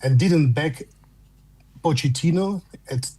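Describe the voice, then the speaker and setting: A man with a Russian accent, calling in to a fan show, speaking English as a second language in halting phrases with frequent restarts. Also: a little high, dark tone, slightly rough. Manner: halting, repeats words and restarts while searching for English. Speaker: caller. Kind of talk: phone-in fan chat.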